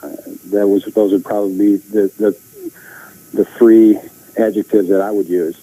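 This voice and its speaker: A man giving a halting, unscripted interview answer, often repeating words. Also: lowish voice, little pitch movement, dark tone, husky, poor audio. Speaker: interviewee, air traffic controller.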